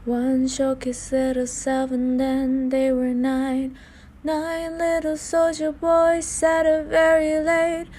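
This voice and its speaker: An eerie stage-play rhyme reciter acted by a woman, high and slow in a measured singsong. The delivery stays even and deliberate, with music playing underneath. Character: eerie nursery-rhyme reciter counting down deaths.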